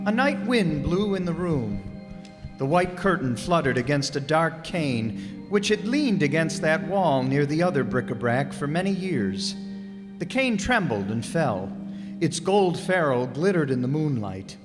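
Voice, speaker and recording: A man narrating a radio play in a literary, dramatic storytelling style. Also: fairly high pitch. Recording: hall reverb, clear music underneath.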